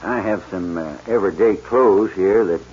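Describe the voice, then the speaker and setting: A man's voice: deep voice, moderate pace, a little mellow, breathy edge. An actor playing a proud, stubborn aging rancher father in a 1940s radio western, with a folksy American drawl and a stammer when moved. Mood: earnest. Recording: noisy muffled 1940s radio recording.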